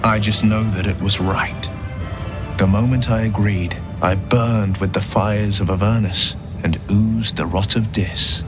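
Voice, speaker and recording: Man acting as slow, solemn haunted young nobleman warlock. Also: lowish voice, fairly even intonation, lightly acted. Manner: solemn, measured, earnest. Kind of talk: dramatic game dialogue, storytelling. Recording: dramatic music loud behind the voice. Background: loud cinematic music.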